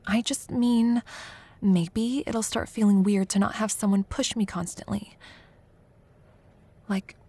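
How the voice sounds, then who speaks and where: Young female college rival, played by a woman, audio roleplay. Breathy, slow delivery, teasing and flustered, secretly fond. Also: medium pitch, darkish tone, no room sound. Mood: flustered, defensive. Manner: teasing, halting, flustered deflection. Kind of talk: intimate audio roleplay acting.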